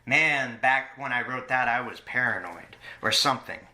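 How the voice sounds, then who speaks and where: A man hosting a casual podcast interview, haltingly feeling his way into each question. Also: medium pitch, bright, forceful tone.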